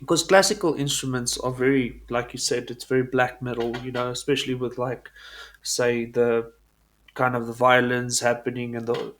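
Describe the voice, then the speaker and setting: A man, an Afrikaans speaker, hosting a casual interview, animated and swearing freely. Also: mid-range voice, moderate pace. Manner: animated, swears, acts out quotes. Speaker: podcast host.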